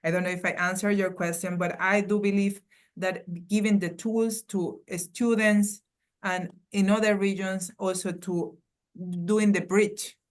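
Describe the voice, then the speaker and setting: A woman's voice: medium pitch, steady tone, unhurried. A woman from Venezuela with a Venezuelan Spanish accent, a guest academic answering earnestly on a panel and searching for words.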